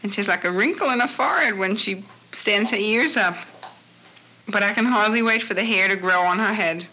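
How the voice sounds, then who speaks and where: A woman's voice: medium pitch, moderate pace, sharp tone, rough recording. An American woman chatting casually, recorded on a 1950s portable recorder. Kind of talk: casual chat.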